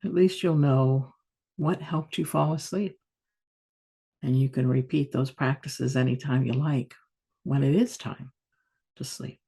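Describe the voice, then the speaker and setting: A woman meditation teacher guiding a yoga nidra practice in a soft, soothing, unhurried manner with long pauses. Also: very deep voice, steady tone, husky.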